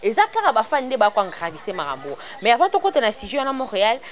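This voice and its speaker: A woman's voice: a little high, wildly swooping pitch, fast. A woman from Congo, hosting an online celebrity news show in a chatty, casual commentary style. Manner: chatty, mixing French and Lingala freely.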